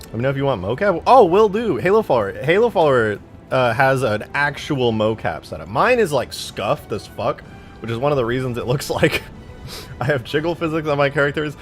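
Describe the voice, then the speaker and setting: A man's voice: medium pitch, expressive, fairly quick, faint music behind. A man livestreaming in a casual, slangy way, reading out viewer comments and talking with self-deprecating bluntness and some swearing. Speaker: live streamer.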